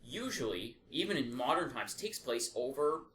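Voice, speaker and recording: A man's voice: mid-range voice, big pitch swings, normal speed, a bit bright. Man podcasting in an echoey room. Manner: opinionated, explanatory, casually profane. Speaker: podcast co-host. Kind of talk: casual podcast discussion. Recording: echoey room with faint background noise.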